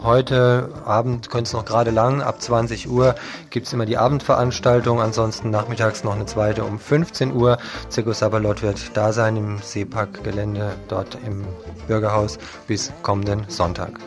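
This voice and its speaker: A man's voice: on the low side, little pitch movement, normal speed. A man presenting informal local event announcements on a radio show. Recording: radio show over loud music. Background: prominent music.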